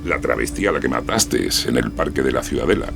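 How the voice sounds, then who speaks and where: An actor playing a sardonic, relentless police sub-inspector questioning a suspect in a dramatized true-crime podcast. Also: male voice, very deep voice, lively intonation, a little fast, gravelly, music in the background, heavily acted. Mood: accusing. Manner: sarcastic, pressing.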